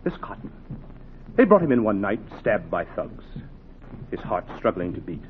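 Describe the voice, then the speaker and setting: A man's voice: on the low side, big pitch swings. An actor playing a dedicated, intense heart surgeon teaching his assistant, in dramatic, emphatic 1940s radio style. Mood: fervent. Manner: dramatic, emphatic, deliberate phrasing. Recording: noisy, narrow-band 1940s radio recording.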